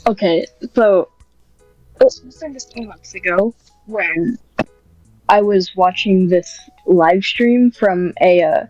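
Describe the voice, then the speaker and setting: A woman's voice: mid-range voice, slow pace, darkish tone, no room sound. A woman guest on a podcast, talking casually and hesitantly about writing ASMR scripts, with lots of fillers and restarts. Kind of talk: casual interview chat. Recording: muffled, dry, faint music behind.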